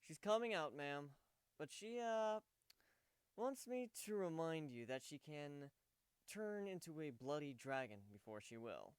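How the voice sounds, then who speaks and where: A man's voice: fairly high pitch, very animated, unhurried, smooth, no echo. Man as a worried, hesitant male pony. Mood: helpless, hesitant. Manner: hesitant, exasperated. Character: helpless, worried, good-natured young male pony.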